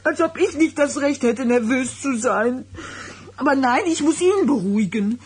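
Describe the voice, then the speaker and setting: Anxious, hurt wife in radio drama. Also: female voice, high voice, expressive, normal speed, a little hiss or hum. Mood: troubled, hesitant. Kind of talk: German radio drama acting.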